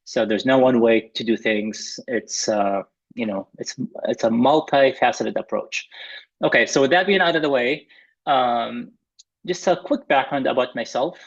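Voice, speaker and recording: A man's voice: medium pitch, unhurried, creaky, dull audio. A man from Lebanon giving a webinar talk over a video call. He speaks earnestly, with many hesitations and restarts. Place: room at home. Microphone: computer mic on a video call.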